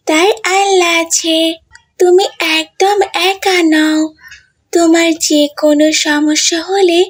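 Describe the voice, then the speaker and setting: A pious little cartoon girl played by a performer, very high in pitch and polite. She speaks slowly and evenly, caring and earnest. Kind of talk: scripted cartoon dialogue.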